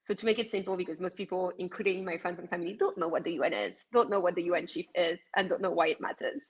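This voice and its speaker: A woman's voice: lowish voice, fast talker, husky, lo-fi sound. A woman from France with a French accent, giving blunt, passionate interview answers over a video call, with frequent ums. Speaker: activist guest.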